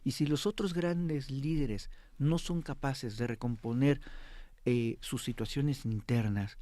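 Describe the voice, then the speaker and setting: A man's voice: mid-range voice, steady tone, takes it slowly, dark tone, no room sound. A man with a Mexican accent giving analysis as a guest in a live interview, emphatic and prone to repeating himself.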